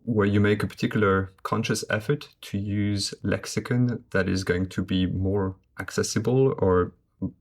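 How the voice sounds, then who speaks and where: Low-voiced man. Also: fairly even intonation. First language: French. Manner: measured, explanatory. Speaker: guest translator. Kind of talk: conversational podcast interview.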